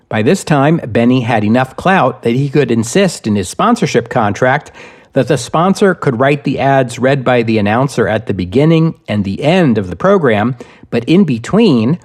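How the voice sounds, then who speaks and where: A man with a mid-pitched voice narrates history as the host of a podcast. He reads from a script in deliberate, measured phrases. Small pauses set his points apart. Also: a little mellow.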